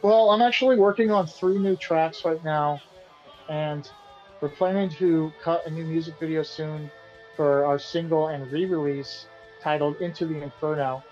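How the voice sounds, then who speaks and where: A man, the band's singer and guitarist, answering interview questions in a relaxed, rambling way and often repeating himself. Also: on the high side, normal speed, muffled recording, soft background music. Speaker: band vocalist and guitarist being interviewed.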